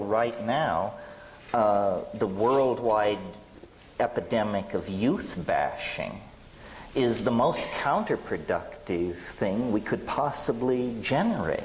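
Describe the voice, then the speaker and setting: A man with a low voice speaks slowly and deliberately as a panellist, choosing ornate, articulate phrases. He is recorded live in a reverberant hall with faint noise behind him. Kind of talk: informal public discussion. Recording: noisy, long hall reverb, live event.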